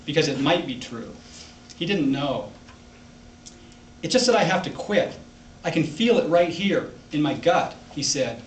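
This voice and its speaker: A man reading his own literary short story aloud to a live audience as its author. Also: a little high. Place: library event room, indoors. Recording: echoey room, distant mic, background noise.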